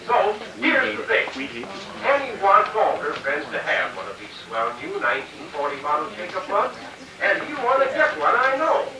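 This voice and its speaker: A man's voice: high voice, wildly swooping pitch, normal speed, background noise, exaggerated voice. A man playing a gushing, folksy 1940s radio announcer selling eagerly to children. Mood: persuasive, upbeat. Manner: gushing, emphatic, folksy exclamations. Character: excitable, wholesome 1940 radio pitchman selling to kids. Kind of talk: 1940s radio advertising, performed live. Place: theatre stage, live radio re-creation. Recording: echoey far-off stage recording with audience.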